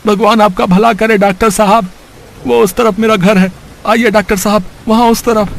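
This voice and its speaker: A man's voice: high-pitched, steady tone, normal speed, gravelly. A man playing a poor, anxious village father in a cartoon story, earnest and pleading. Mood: grateful, pleading. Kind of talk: Hindi cartoon story voice acting.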